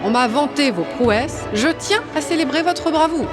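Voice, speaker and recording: A woman's voice: high-pitched, animated, moderate pace, music in the background. A woman playing a gracious insect empress in an audio drama, formal and stately.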